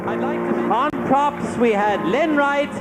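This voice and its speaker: A man's voice: extremely high pitch, measured pace. An Irish man acting as master of ceremonies at an amateur stage show, effusively reading out thanks and names as he projects to the audience. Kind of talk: live stage announcements and thank-you roll call. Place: large indoor theatre hall, on stage. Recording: echoey hall with loud music, live stage video.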